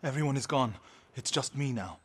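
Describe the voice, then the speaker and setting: An actor playing an earnest, devout warrior monk of feudal Japan in a video game, speaking solemnly and with feeling. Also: male voice, mid-range voice, animated, a little fast, a bit bright, slight background noise, close to an everyday voice. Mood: grieving. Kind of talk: dramatic video game acting.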